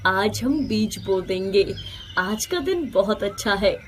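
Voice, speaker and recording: A woman voicing a kind, hopeful male farmer in an animated moral story, earnest and reassuring. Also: mid-range voice, slow, slight background noise. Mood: happy, tender. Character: hopeful, protective, kind village farmer man.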